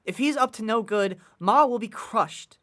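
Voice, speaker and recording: A man's voice: sits high, normal speed, pushed and bright. An actor playing an earnest working-class teenage boy in a nostalgic audio drama, hesitant and folksy in his slang. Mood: determined.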